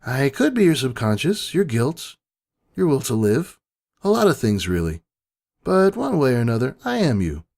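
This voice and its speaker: A man's voice: mid-range voice, wildly swooping pitch, moderate pace, vocal fry, darkish tone, heavily acted. A man voicing a smirking, frank ghostly double of a female pony, casual and knowing, in a dramatic story reading. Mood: wry, knowing. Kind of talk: dramatic fan fiction reading.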